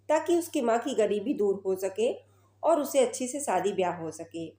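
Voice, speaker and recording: A woman's voice: a little high, warm tone. A woman narrating a scripted story as a storyteller. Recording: roomy, echoing voice recording.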